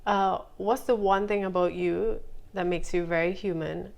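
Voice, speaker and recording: A woman with a Barbadian accent hosting a casual interview, relaxed, quick to laugh, and adding short affirmations. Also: lowish voice, creaky, highs cut off. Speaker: interview host.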